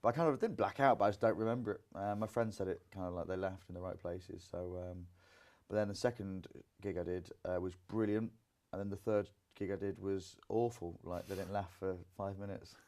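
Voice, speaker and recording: Low, mellow-voiced young comedian. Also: male voice, steady tone, a little fast, hardly any pauses. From Grimsby, England. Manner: rambling, many fillers. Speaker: comedian and singer. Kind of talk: casual interview chat.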